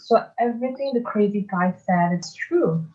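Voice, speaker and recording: A woman playing a bewildered boy newcomer, halting and incredulous, in a theatre table read. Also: mid-range voice, mellow, lightly acted. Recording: dull lo-fi microphone.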